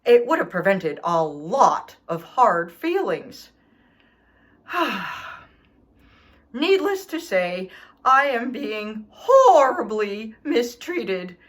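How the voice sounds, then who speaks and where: Woman voicing an indignant, animated storybook dog. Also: on the high side, very slow, heavily acted. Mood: aggrieved, pleading. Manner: theatrical, aggrieved, emphatic. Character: indignant, self-pitying, melodramatic male dog writing letters home. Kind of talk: expressive children's storybook read-aloud.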